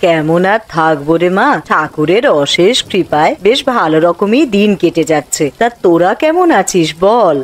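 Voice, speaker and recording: A woman's voice: fairly low pitch, animated, moderate pace, few pauses, lightly acted. A woman voicing a kindly old village grandmother in a cartoon, speaking in an affectionate, folksy way over music. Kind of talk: cartoon voice acting. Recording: music behind the voice.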